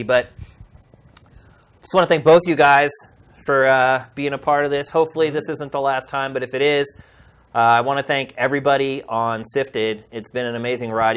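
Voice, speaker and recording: A man's voice: mid-range voice, a bit bright, clean tone. Earnest middle-aged man hosting a podcast. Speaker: podcast host. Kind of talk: casual, heartfelt address to viewers.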